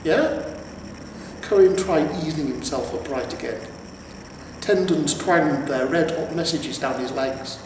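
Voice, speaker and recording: A man's voice: a little high, unhurried, far from the mic. A man reading scripted fantasy fiction aloud as a storyteller. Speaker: amateur audiobook narrator. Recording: very echoey reverberant room, faint noise.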